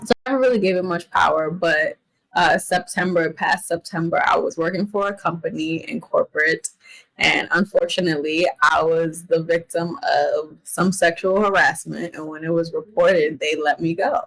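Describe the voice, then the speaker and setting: A woman's voice: low voice, normal speed, creaky, a bit bright, low-quality recording. A woman in her late twenties, a chef, talking as a podcast guest in an upbeat, reflective way. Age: 20s. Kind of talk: casual interview chat.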